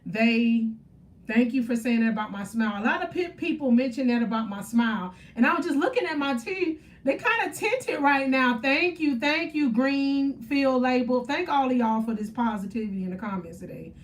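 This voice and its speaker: A woman's voice: high-pitched, expressive, moderate pace, far from the mic. A woman, chatting on a faith-centered livestream, upbeat and preachy as she answers viewer comments. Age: 30s. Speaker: faith motivational livestream host. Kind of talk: casual live stream chat with viewers.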